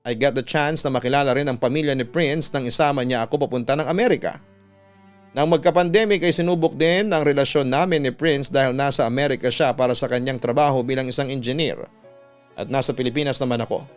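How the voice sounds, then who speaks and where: A Filipino man reading listener letters as a radio storytelling host, with a smooth, clear voice. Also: mid-range voice. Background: instrumental music.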